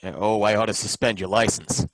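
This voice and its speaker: An actor playing a suspicious, bumbling license inspector who asks halting, probing questions in a live old-time radio drama. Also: male voice, on the low side, steady tone, dry recording, natural voice, poor audio.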